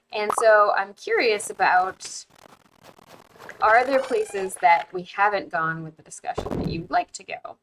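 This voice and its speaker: A woman's voice: mid-range voice, noisy background. A woman from the United States chatting casually while playing a game on her stream, thinking aloud with fillers. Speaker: streamer and host. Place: home, living abroad.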